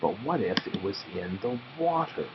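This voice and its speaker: A man narrating a children's story aloud expressively and deliberately, with dramatic pauses. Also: low voice, sing-song, crowd noise. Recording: muffled audio with faint background noise.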